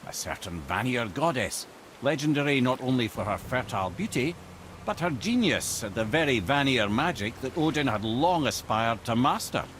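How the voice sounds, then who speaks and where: A man's voice: mid-range voice, very animated, normal speed, a little hiss or hum, low-quality recording. An actor playing a witty old male advisor and storyteller in a video game, eloquent and wry in his wordy delivery. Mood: storytelling. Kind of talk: video game cutscene acting. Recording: loud music behind the voice.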